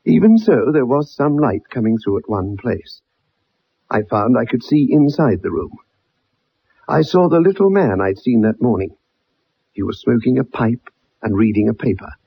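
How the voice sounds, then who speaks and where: A man's voice: mid-range voice, lively intonation, moderate pace, vocal fry, slightly rough. An actor playing an earnest, dogged young ex-soldier, telling his story in a formal, dramatic way in a radio drama. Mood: earnest recounting. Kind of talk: 1960s radio drama acting. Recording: muffled old radio broadcast.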